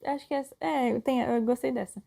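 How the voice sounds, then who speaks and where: Brief: young Brazilian woman co-host, soft mellow tone, slightly high, chatty storyteller. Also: fast talker, creaky. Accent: Brazilian Portuguese. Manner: lively storyteller, finishes her partner's sentences. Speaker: podcast co-host. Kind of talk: casual couple conversation.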